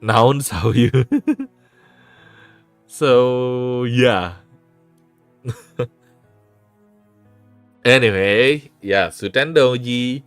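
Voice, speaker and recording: A man's voice: medium pitch, drawn-out words, pauses often, clean tone, dry recording, soft background music. A young man with an Indonesian accent, chatting casually on a live stream, laughing often, swearing and restarting words. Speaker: VTuber streamer.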